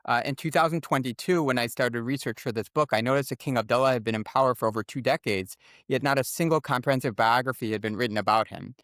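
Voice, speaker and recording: A man's voice: mid-range voice, fast talker, fairly bright tone, no room sound, clean studio sound. A man with an American accent, an author being interviewed, giving articulate and orderly explanatory answers. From United States. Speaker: guest author and former journalist.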